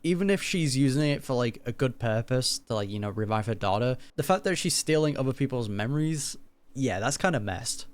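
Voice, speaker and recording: A man's voice: medium pitch, animated, fairly quick. A man giving casual gaming reaction commentary, informal and slangy, recapping in plain words. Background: game music.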